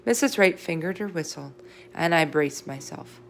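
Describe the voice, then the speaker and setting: A woman's voice: low voice, animated, normal speed, gravelly, slight background noise. A woman reading a children's storybook aloud as narrator. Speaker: read-aloud reader. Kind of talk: children's book read-aloud, character voices in dialogue.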